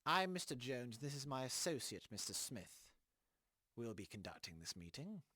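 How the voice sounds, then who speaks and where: Man playing a smugly menacing official, lively pitch. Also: normal speed, breathy edge, exaggerated voice. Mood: smug menace. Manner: formal, condescending, veiled threats. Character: menacing, smugly polite government enforcer interrogating people. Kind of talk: audio drama acting.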